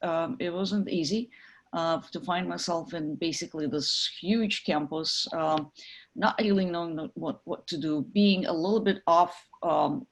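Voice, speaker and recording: A middle-aged woman from Ukraine, a guest in an interview, talking with many pauses, fillers and restarts. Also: fairly low pitch. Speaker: guest, tech executive. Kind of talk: conversational interview.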